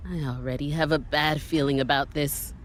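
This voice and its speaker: A woman's voice: low-pitched, fairly even intonation, moderate pace, a little hiss or hum, lightly acted. An actress playing a weary, sceptical camerawoman sidekick in a horror audio drama, dry and grumbling. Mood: apprehensive.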